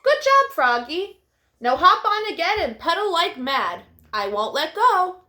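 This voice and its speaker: A woman voicing an encouraging, energetic father frog in a storybook, urging emphatically. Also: very high voice, a little slow, pitched above the performer's own voice, exaggerated voice.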